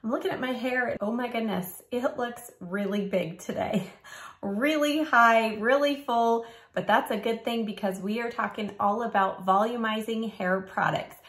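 A middle-aged woman, a little raspy from a cold, chatting upbeat and instructive as she reviews hair products from her home studio. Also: a little high, normal speed, fairly bright tone. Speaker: beauty vlogger. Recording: roomy home recording.

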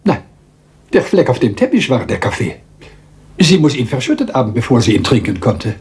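Lively French-accented little detective. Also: male voice, mid-range voice. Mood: patiently explaining. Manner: theatrical, deliberate, polite, lecturing, teasing rhetorical questions. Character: small, meticulous, self-assured Belgian detective. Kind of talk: German radio crime drama acting.

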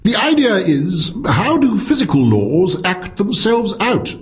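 A man's voice: sits high, huge pitch swings, slow pace, pitched above the performer's own voice, exaggerated voice. A man voicing an intense, reedy philosophy professor who lectures with smug energy, in an audiobook story. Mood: earnest lecturing.

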